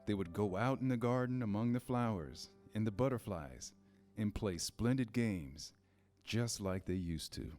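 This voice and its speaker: Low-voiced man narrating a storybook over music. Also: expressive, darkish tone. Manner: measured, pausing storytelling. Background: music, guitar.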